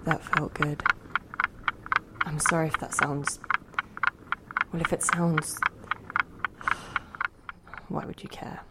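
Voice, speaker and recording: Low-voiced woman a possessive, wistful inner spirit. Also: little pitch movement, slow pace, pauses often, heavy background noise. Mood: exhilarated, confiding. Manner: theatrical, musing, by turns sharp and intimate. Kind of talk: audio drama monologue and dialogue.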